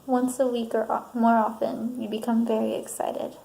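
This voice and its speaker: Woman, soft-spoken ASMR, vocal fry, reading statements slowly with pauses, roomy recording. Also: on the high side, flat delivery. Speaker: ASMR performer roleplaying a counselor. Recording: roomy sound, faint background noise.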